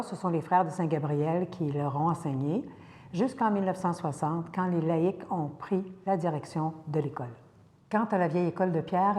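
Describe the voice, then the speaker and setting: An older woman with a Quebec French accent, narrating local history in a measured, careful way with occasional hesitations. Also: fairly low pitch, warm tone, long reverb tail.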